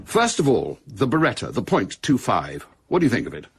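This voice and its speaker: A man acting in a radio drama as a middle-aged British intelligence chief, brisk, authoritative and clipped. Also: lowish voice, wildly swooping pitch, a little hiss or hum. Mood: commanding.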